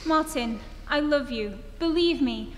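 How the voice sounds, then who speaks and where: A woman playing a worried, loving, gently persuasive sweetheart in an old-time radio drama, earnest and pleading, on stage in a hollow, hissy hall. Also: high voice, unhurried. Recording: hollow hall recording with hiss.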